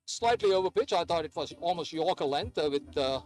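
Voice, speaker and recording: A man's voice: fairly high pitch, lively intonation, fairly quick, darkish tone, no room sound. A man who grew up in Sri Lanka, giving hesitant, chatty play-by-play of a live cricket match with many fillers. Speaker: cricket commentator.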